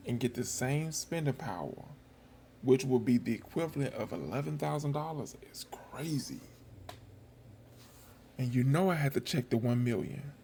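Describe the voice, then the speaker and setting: A man's voice: mid-range voice, gravelly, warm tone, slight background noise. A man giving casual commentary to his viewers, musing aloud and repeating himself for emphasis. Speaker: video host. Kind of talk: casual chat commentary over old footage.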